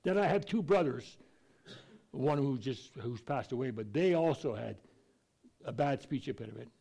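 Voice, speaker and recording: A man's voice: mid-range voice, lively intonation, moderate pace, vocal fry, mellow, slightly rough. A man preaching a conversational Bible teaching, folksy and plainspoken, with an occasional stutter and restarts.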